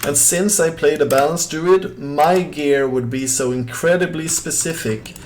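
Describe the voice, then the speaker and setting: A man's voice: a little high, a little slow, a little hiss or hum. A young man from southern Sweden with a Swedish accent, a game streamer chatting casually and rambling with lots of fillers while he plays. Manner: rambling, many fillers and restarts, easygoing. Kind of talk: casual chat while gaming and reading chat.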